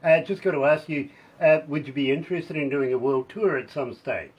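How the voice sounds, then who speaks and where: A man with a husky, somewhat hoarse voice hosts a radio interview, pitched a touch high. He gushes and chats warmly with his guest, laughing between lines. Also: fairly quick, highs cut off. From New Zealand. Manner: effusive, chatty, laughs often. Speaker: radio host.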